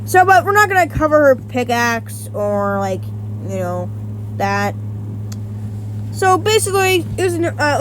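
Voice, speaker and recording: A woman with a high voice talks through a casual versus-battle breakdown. She rambles, stops to correct herself and restarts often, dropping in the odd swear word. A faint hum sits behind her. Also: rough recording. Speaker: YouTuber.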